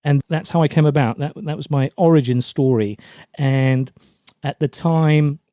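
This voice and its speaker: A man's voice: on the high side, normal speed, dark tone, dry recording. A middle-aged man from Germany, raised in a British forces family, giving chatty, anecdotal answers as an interview guest. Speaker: podcast guest, LinkedIn brand coach.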